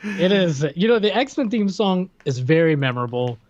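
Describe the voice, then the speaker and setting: A man's voice: high-pitched, big pitch swings, normal speed, a little mellow, no room sound, muffled recording. A man co-hosting a podcast, chatting casually and hesitantly with lots of fillers. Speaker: podcast co-host. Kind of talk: casual chat.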